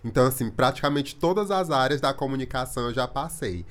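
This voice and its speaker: A man's voice: medium pitch, animated, quick pace. A man in his thirties with a strong Maranhão accent, a talkative podcast guest telling his story in casual chat. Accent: Maranhão Brazilian Portuguese. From Imperatriz, Maranhão, Brazil.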